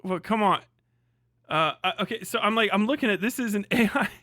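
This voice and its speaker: A man's voice: high voice, a little fast, bright, forceful tone, no room sound, clean studio sound. A man live streaming in casual reaction chat, talking in an exclamatory, profane way.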